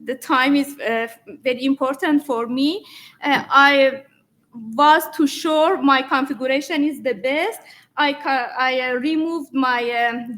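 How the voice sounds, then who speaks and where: Woman presenter in Q&A, high voice, slow, halting with fillers. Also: a bit bright. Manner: halting, many fillers, non-native phrasing. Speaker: conference presenter answering questions. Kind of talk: question and answer after a talk. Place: conference room.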